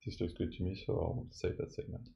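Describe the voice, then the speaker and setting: A man narrating a step-by-step software tutorial as a demo presenter. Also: deep voice, flat delivery, brisk, creaky, dull audio. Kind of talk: scripted tutorial narration over a screen recording.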